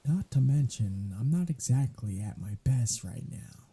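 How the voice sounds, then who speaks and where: A man's voice: medium pitch, animated, measured pace, mellow, breathy edge, faint music behind, heavily acted. A man playing a sardonic, weary vampire in an ASMR audio roleplay, talking in a flippant, conversational way. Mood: weary, subdued.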